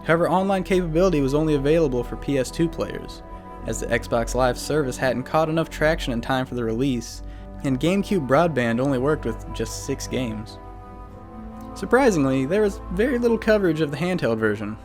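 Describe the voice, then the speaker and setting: A man's voice: medium pitch, lively intonation, brisk. A man hosting a video series, reading a scripted retrospective in a wry, casually joking way. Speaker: video series host and narrator. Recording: music bed under voice. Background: background music.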